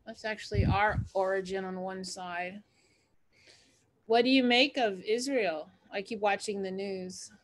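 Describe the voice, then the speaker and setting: A woman's voice: mid-range voice, steady tone, unhurried. A woman hosting a book group over a video call, offering short, friendly and encouraging replies. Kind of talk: casual video call chat.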